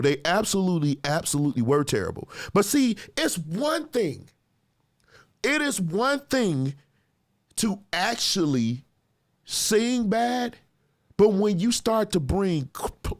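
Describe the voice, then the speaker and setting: Animated man hosting reaction commentary. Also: fairly high pitch. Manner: animated, chatty, laughs often; talks straight to viewers, repeats himself for emphasis. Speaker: host reacting to clips; gospel musician and producer.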